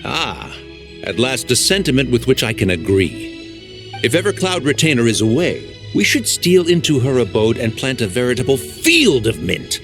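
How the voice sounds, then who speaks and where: A man's voice: medium pitch, sing-song, moderate pace, fairly bright tone, soft background music. A man voicing a pompous, theatrical immortal beast in a video game, speaking in archaic, plaintive phrases. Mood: conspiratorial.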